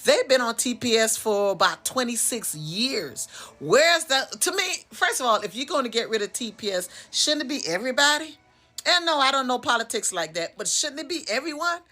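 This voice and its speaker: A woman from Florida with a bright, fairly high voice gives her view in a casual vlog. She keeps asking pointed questions and pushes her point home. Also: sing-song, moderate pace. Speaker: online commentator.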